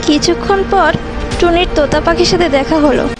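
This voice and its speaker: A woman's voice: extremely high pitch, fairly quick, loud music behind the voice. A woman reading scripted narration for a children's animal story.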